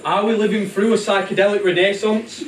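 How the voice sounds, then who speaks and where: A man playing a whimsical, excitable storyteller, narrating a puppet show theatrically. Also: sits high, a little fast, slight background noise, natural voice. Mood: reflective. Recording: distant, echoey live show bootleg with music.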